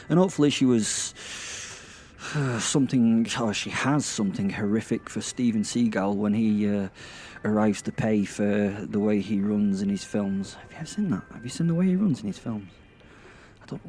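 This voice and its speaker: A man's voice: fairly low pitch, dark tone, a little hiss or hum, close to an everyday voice. A man playing a chatty, irreverent werewolf who narrates a comic horror audio drama in rambling, self-interrupting asides. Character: irreverent, chatty, starstruck male werewolf killer. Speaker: narrator and host. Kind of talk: first-person comic horror monologue. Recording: music behind the voice.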